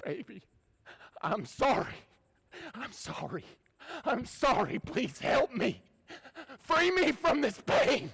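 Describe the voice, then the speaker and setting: An actor on stage playing a vengeful, guilt-ridden slaveholding father, impassioned as he shouts and pleads. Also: very high-pitched, wildly swooping pitch, slow, creaky, long pauses, slight background noise, full character voice. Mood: broken, pleading. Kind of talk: stage play acting. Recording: live theatre stage recording.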